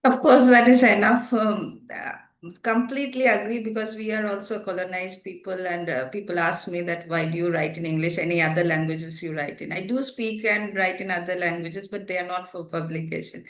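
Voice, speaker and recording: A woman's voice: mid-range voice, steady tone, moderate pace, roomy sound. A woman moderating a writers' panel, agreeing with a speaker and introducing the next one. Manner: warm agreement, then formal introductions.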